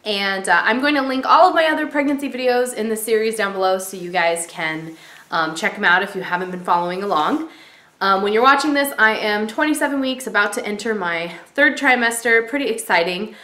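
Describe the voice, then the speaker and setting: A woman's voice: medium pitch, fairly quick, sharp tone. Woman, 30s, vlogger talking to camera in a small echoey room, nasal from a cold, chatty and rambling. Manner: chatty, many ums and likes. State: stuffy from a cold, clearing throat. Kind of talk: casual pregnancy update vlog. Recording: roomy echo.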